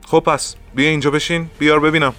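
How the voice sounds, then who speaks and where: An actor playing a middle-aged veteran security officer, hot-tempered but deferential, with a blustering colloquial manner. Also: male voice, a little high, little pitch movement, a bit bright, faint music behind, natural voice. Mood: brusque, demanding. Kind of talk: audio drama acting.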